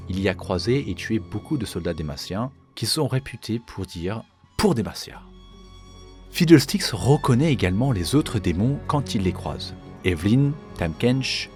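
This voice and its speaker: Guy explaining game lore, lowish voice, bit of music behind him. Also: darkish tone. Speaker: video game lore creator. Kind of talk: explanatory commentary.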